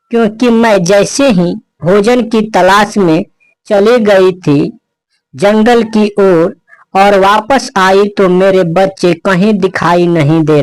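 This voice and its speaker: A man's voice: high voice, dry recording, low-quality recording. A man voicing an earnest, plaintive mother bird in a cartoon story. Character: worried mother bird searching for her chicks.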